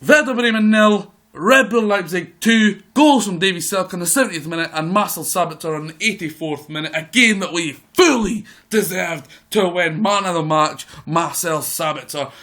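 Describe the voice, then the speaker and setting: A man with a croaky voice giving excitable live play-by-play commentary over a football management game. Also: high voice, moderate pace, hardly any pauses. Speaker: gaming video host.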